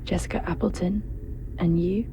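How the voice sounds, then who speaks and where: A woman's voice: fairly low pitch, fairly even intonation, normal speed, mellow, slight background noise, natural voice. An actress playing a guarded, dry-witted woman responder in a video game, speaking naturalistically in a wry, muttering way. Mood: wary, guarded. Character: guarded, dry-witted female responder working alone at remote outpost.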